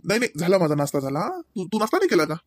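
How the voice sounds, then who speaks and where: A man's voice: high voice, huge pitch swings, very fast, dry recording, studio quality, heavily acted. A man voicing a doting, proud young father in a comedy cartoon, chatty and folksy, with a Varhadi Marathi accent. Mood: chatty, caring. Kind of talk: homemade comedy cartoon dialogue.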